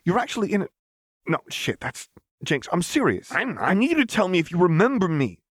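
A man's voice: high voice, big pitch swings, a little fast, husky, dry recording, studio quality, pitched above the performer's own voice, exaggerated voice. A man voicing an awkward, earnest young male fighter in an audiobook, hesitant and stammering. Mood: urgent, incredulous.

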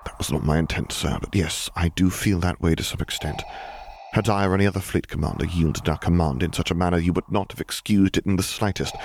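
A man's voice: deep voice, vocal fry, slight background noise. A man voicing a loyal, indignant older admiral in an audiobook, speaking with formal, emphatic military address. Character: defiant, loyal older male fleet admiral, earnest and indignant. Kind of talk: audiobook character voice.

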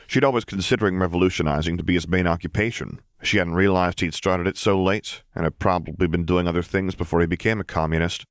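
Male audiobook narrator, low voice, scripted fiction reading, dry room sound. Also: fairly even intonation, quick pace, few pauses, no echo.

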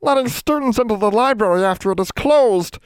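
A man's voice: high-pitched, animated, a little fast, smooth, no echo, voice pushed up. A man voicing a timid, servile library elf in an audiobook, speaking eagerly in broken servant grammar. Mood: distressed, agitated. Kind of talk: audiobook character voice.

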